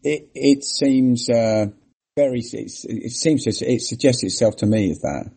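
A man in casual conversation, rambling and hesitant as he muses aloud. His voice is husky, dark and mellow. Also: mid-range voice, a little slow. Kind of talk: casual chat.

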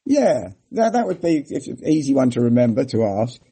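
A man's voice: medium pitch, sing-song, normal speed, mellow, breathy edge. A man in casual conversation, rambling and hesitant as he muses on questions. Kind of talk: casual chat.

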